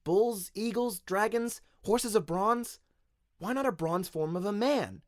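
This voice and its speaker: A man's voice: high-pitched, takes it slowly, dry recording, clean studio sound, voice pushed up. A man voicing an eager, naive young boy apprentice, earnest and insistent, in a radio-play style story.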